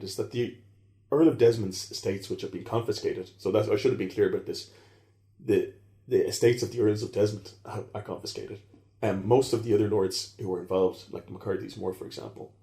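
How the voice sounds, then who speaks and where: Man, rapid rambling delivery, dark mellow tone, echoey room. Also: on the low side. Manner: fast, rambling with many fillers and restarts. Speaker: historian guest. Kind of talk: casual podcast conversation.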